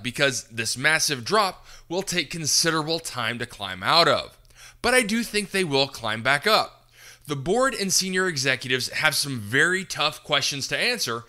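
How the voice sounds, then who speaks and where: A man with a very bright, punchy voice, pitched fairly high and moving in lively swings. He presents scripted news commentary as a podcast host, with polished, emphatic delivery.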